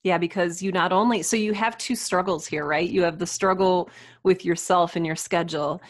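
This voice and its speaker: A woman's voice: lowish voice, steady tone, moderate pace, no echo, clean studio sound. A woman speaking as a podcast guest in casual interview conversation, reflective and earnest.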